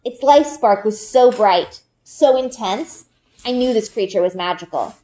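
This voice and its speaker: A woman reading a children's book aloud like a teacher, with expressive storytelling emphasis and dramatic pauses. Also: mid-range voice, poor audio.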